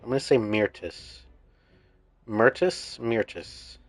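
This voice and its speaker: Relaxed man musing over a game. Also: medium pitch, slow, no echo. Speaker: let's play streamer. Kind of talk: casual game commentary and reading dialogue.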